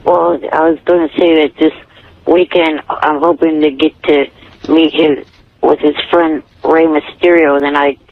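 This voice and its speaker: Creaky, higher-voiced male phone caller. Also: flat delivery, no room sound. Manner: earnest, literal, halting. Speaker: radio show caller. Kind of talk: phone-in conversation. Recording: dull telephone call on radio.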